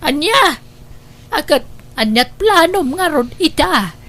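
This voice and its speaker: A woman's voice: high-pitched, big pitch swings, takes it slowly, fairly bright tone, exaggerated voice. A woman playing a questioning, protective grandmother in a radio drama. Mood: surprised, questioning.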